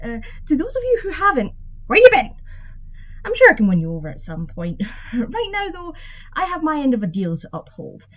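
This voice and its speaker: A woman hosting an audio roleplay in a theatrical, in-character way, cheeky, sweary and expressive. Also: sits high, normal speed, highs cut off. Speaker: voice actor and channel host.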